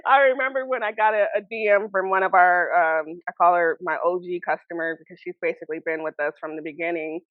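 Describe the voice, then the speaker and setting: A woman with a somewhat low voice chats casually on a podcast, laughing often and trailing off with fillers and restarts. The sound is dry and a little dull and lo-fi. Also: fast, hardly any pauses, no room sound. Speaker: guest, founder of a cleaning products brand.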